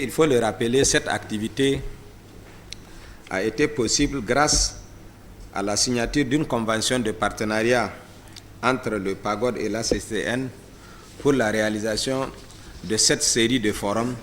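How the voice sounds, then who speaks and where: A man's voice: lowish voice, a bit bright. A man giving a formal opening speech as a programme representative. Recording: faint background noise at live event.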